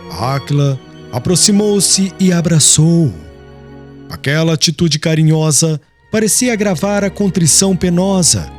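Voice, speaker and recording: Expressive male audiobook narrator. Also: a little high. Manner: measured, expressive storytelling.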